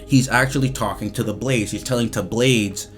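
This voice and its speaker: A man giving casual, off-the-cuff commentary as he reviews game voice lines, talking in a halting, repetitive, slangy way with some swearing. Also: medium pitch, soft background music.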